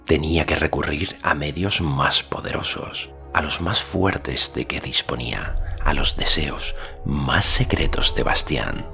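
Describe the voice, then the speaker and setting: A man's voice: a bass voice, expressive, unhurried, creaky, sharp tone. A man narrating a fantasy audiobook in a scripted, dramatic literary storytelling style. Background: faint music.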